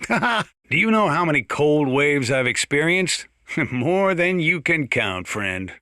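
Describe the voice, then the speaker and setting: An actor playing a folksy, sardonic older small-town American sheriff, drawling wry tales and warnings in a video game radio monologue. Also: male voice, on the high side, very animated, takes it slowly, pushed and bright, smooth, no room sound, studio quality, heavily acted. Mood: amused, chatty.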